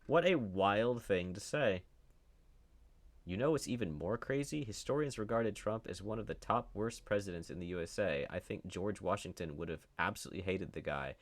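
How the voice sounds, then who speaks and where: A man with a low voice delivers off-the-cuff commentary. He reads online posts aloud and responds with sarcasm and open disbelief. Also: fairly bright tone. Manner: sarcastic, incredulous. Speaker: commentary host. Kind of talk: unscripted commentary, reading posts aloud and reacting.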